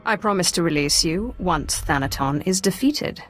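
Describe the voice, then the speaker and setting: Sith inquisitor in a game, lowish voice, cool and dry, formal, music behind. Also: female voice, animated, normal speed. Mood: solemn.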